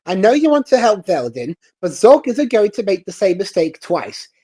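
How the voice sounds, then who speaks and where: Husky, firm woman ally acted by a woman. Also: medium pitch, sing-song, normal speed, warm tone. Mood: firm, concerned. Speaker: comic dub voice reader. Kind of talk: acted comic book reading.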